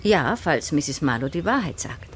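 A composed, shrewd spinster in a radio drama. Her low voice is formal and measured, and she questions people with cool precision. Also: very animated, slight background noise. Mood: calm, kindly. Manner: formal, measured, coolly probing. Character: composed, proper, shrewd older spinster investigating. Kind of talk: German radio play acting.

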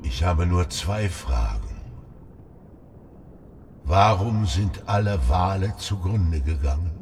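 A solemn old whale in a radio play, lowish slow voice that swings a lot, brooding and stubborn. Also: male voice, lively intonation, darkish tone, big-room sound, a little hiss or hum, exaggerated voice. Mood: brooding, insistent. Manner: solemn, slow, deliberate, retelling and questioning. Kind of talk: literary radio drama acting.

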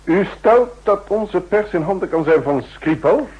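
An actor playing a measured, skeptical president in a 1960s radio drama, terse and questioning, with a husky voice. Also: male voice, sits high, animated, darkish tone, exaggerated voice. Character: measured, skeptical male federal president, brief and authoritative. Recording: muffled old 1960s radio recording.

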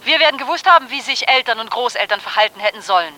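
A defensive, self-righteous figure in a radio drama. The voice is bright and forceful with a lively, swinging melody, declaimed with emphatic indignation. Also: female voice, fairly high pitch, fast talker, a little hiss or hum. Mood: indignant, defensive.

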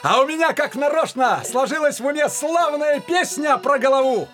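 An actor playing a rowdy, hot-blooded young Cossack village lad in a theatrical, declamatory audio drama style. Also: male voice, very high voice, moderate pace, a bit bright, soft background music. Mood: rousing, defiant.

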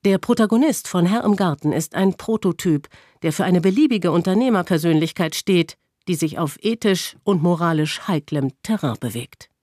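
A woman's voice: fairly low pitch, lively intonation, moderate pace, a little mellow, no room sound. Dry studio-voiced woman announcer. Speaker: programme announcer. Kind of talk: scripted introduction.

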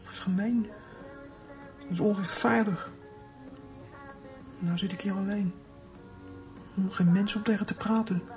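A man's voice: high-pitched, steady tone, measured pace, long pauses, darkish tone. Casting: runaway teenage boy, lonely and defiant, muttered inner monologue, radio play with music. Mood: hurt, indignant. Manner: muttering to himself, bursts of indignation.

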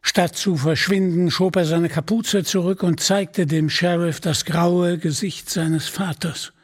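A male narrator reading a dramatic story from a script. His voice is high for a man and husky, and he takes his time with the telling. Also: steady tone, a little slow.

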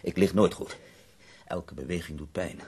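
A man's voice: deep voice, moderate pace, darkish tone, a little hiss or hum, natural voice. An actor playing a wry, self-mocking, bedridden man in pain in a Dutch radio drama. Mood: sardonic, pained.

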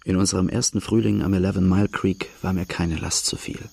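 An actor playing a young Australian farm-boy outlaw, telling his hard youth in a plain, earthy, measured radio drama narration with a low gravelly voice. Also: steady tone, darkish tone, dry recording, exaggerated voice. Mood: plain, reflective.

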